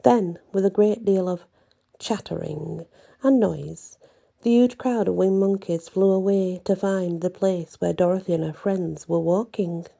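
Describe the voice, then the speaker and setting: Woman doing asmr bedtime storytelling, real mellow dark voice, slow, bit of gravel, dramatic phrasing. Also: on the low side.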